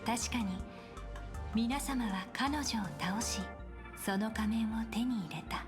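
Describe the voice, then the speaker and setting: A woman voicing a poised, formal young negotiator in a game, speaking in very polite, measured phrases. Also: on the high side, lightly acted. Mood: composed. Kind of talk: Japanese game voice acting. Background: game music.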